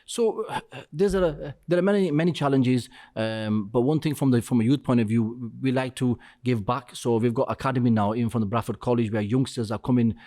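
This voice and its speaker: A middle-aged man with a voice of ordinary pitch speaks as a podcast guest. His talk is earnest and halting, full of pauses and "you know". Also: warm tone. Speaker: restaurant co-founder, guest. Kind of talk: podcast interview.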